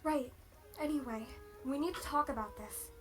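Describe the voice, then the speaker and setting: A woman's voice: high-pitched, normal speed, lightly acted. A woman playing an anxious pony royal parent in a fantasy audio drama. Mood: worried. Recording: played clip with music underneath.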